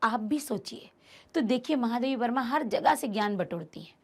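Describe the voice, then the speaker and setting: A woman's voice: fairly high pitch, animated, normal speed, breathy edge. A woman teacher explaining a textbook chapter to her students, emphatic and repeating key phrases as she speaks to them directly. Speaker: Hindi teacher.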